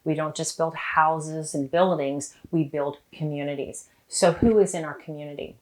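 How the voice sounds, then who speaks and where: A woman who heads a trade association, speaking to camera in a measured, purposeful way. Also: sits low, steady tone, rough recording. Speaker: association executive officer. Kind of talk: interview to camera.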